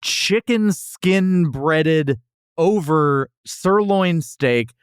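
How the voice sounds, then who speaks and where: A man's voice: fairly high pitch, extremely slow, clear, resonant tone, no room sound, clean studio sound. An American man from Texas hosting a podcast, chatting casually and giving wry, exaggerated comic complaints as he reviews food. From Texas, USA.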